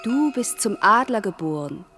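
Pushy seductive temptress, radio drama, medium pitch, declaiming, bit of music under it. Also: female voice, sing-song, normal speed, sharp tone. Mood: reproachful, coaxing. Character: seductive, urgent temptress of adventure and freedom. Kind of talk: theatrical German radio play dialogue.

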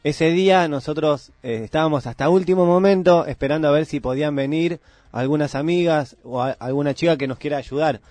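A man's voice: on the high side, fairly quick, a little mellow, dry recording. Argentine man chatting on dry-sounding radio. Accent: Buenos Aires Argentine. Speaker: radio co-host. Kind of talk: casual radio chat. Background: music.

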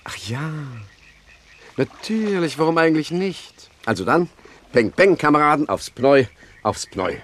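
An actor playing a roguish, prosaic 1920s gangster in a German radio comedy, with a dry, grumbling, mock-poetic manner. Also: male voice, fairly high pitch, animated, measured pace, fairly bright tone, a little hiss or hum. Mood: perked up.

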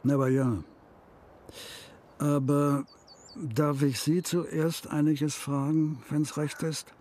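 An actor playing a troubled, grieving middle-aged father in a radio crime drama, halting and insistent as he searches for words. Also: a little high, flat delivery, warm tone.

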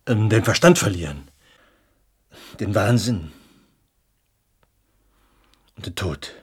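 An actor playing an ailing, haunted writer in a radio play, halting and hesitant in a husky, breathy voice. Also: male voice, fairly low pitch, lively intonation, heavily acted. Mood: fearful. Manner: halting, hesitant, breaking into soft laughs. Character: ailing, haunted male writer, fearful yet fervent.

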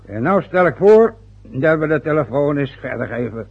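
An actor playing a pompous, gruff older father in a radio play, formal and impatient in his manner. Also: on the high side, lively intonation, moderate pace, heavily acted. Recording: dull old radio recording.

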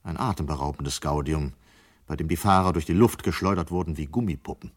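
A man's voice: very deep voice, fairly quick, music in the background. A man narrating a literary radio drama in a scripted storytelling style.

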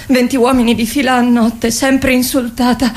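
A woman's voice: on the high side, level tone, normal speed, darkish tone, lightly acted. An actress in a radio play voicing a traumatised captive young mother, sorrowful, pleading and stark. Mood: distressed, pleading. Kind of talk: theatrical radio play monologue.

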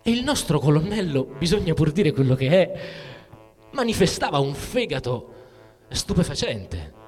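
A man's voice: fairly high pitch, sing-song, a little mellow, slightly rough, exaggerated voice. Intense, wide-ranging male soldier narrator. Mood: wry, incredulous. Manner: halting, repeats words, breaks off mid-phrase. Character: frightened, cynical male soldier narrator at war. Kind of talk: dramatic literary monologue set to music. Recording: music under the voice. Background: music.

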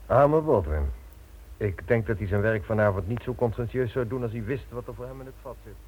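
An actor playing a cautious, businesslike criminal accomplice in a radio drama. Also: male voice, mid-range voice, brisk, close to an everyday voice. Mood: wary. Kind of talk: Dutch radio drama acting. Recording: tinny, faintly noisy old radio recording.

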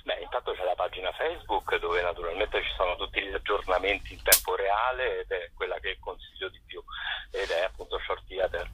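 A man's voice: low voice, pushed and bright, slight background noise. A man, a festival director, talking on a radio call-in and hesitating with many fillers. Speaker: festival director, phone guest. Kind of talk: radio phone interview. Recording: muffled phone line.

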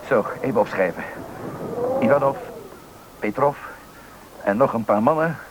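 Male actor as anxious village dad, middle-aged, mid-pitched, for radio drama; noisy old recording. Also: natural voice. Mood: purposeful. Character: worried village father searching for his missing son. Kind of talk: Dutch radio drama acting.

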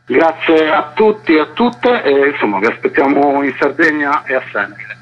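A man's voice: on the high side, expressive, moderate pace, dull audio. A man speaking as a festival organiser in a spontaneous radio interview, wordy and earnest, repeating words for emphasis. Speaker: cultural festival organiser and activist guest. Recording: noisy line with electrical hum.

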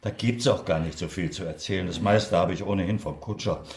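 A man's voice: sits low, brisk, darkish tone, echoey room, a little hiss or hum. An actor playing a good-natured middle-aged husband and father in a German radio play, hesitant and soothing. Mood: excited.

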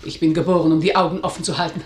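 A woman's voice: low voice, flat delivery, racing, natural voice. An actress playing a stern, tyrannical mother in a radio drama, with a commanding, imperious manner. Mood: imperious, severe. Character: stern, tyrannical mother ruling her household. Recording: roomy, echoing studio sound.

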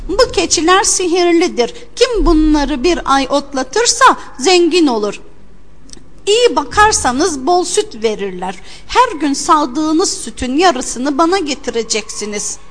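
Cheeky young folk-tale trickster female narrator acting, high, bold and declaiming, in a reverberant space. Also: big pitch swings, unhurried. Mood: crafty, boastful. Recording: long hall-like reverb.

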